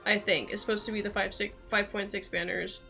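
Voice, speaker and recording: A woman game streamer chatting casually with viewers, rambling and thinking out loud. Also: medium pitch, flat delivery, brisk, gravelly, soft background music.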